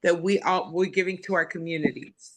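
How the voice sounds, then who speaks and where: A woman's voice: fairly low pitch, steady tone, brisk. A woman with an American accent co-hosting a podcast in casual chat, slangy, playful and bawdy. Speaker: podcast co-host.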